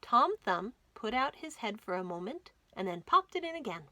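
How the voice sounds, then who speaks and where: A woman reading a children's story aloud as a storytime host, with dramatic, expressive delivery. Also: on the high side, moderate pace, no room sound. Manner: dramatic, animated storytelling with whispers and emphasis. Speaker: library storytime reader.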